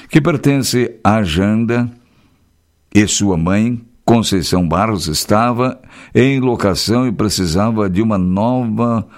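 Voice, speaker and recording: A man's voice: on the low side, darkish tone. Chatty man reading local news. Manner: chatty, folksy commentary between read news items. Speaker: radio news host.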